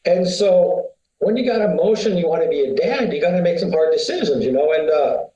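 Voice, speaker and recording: High-voiced man telling rambling stories. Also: expressive, a little fast, mellow, reverberant, lo-fi sound. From United States. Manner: rambling, story-telling, many fillers. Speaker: winery founder, guest. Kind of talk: casual interview talk.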